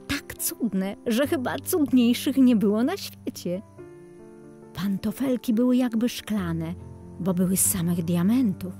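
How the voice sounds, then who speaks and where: A woman reading a scripted fairy tale for children in a measured, expressive storybook manner. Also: medium pitch. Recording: music under the voice. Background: music.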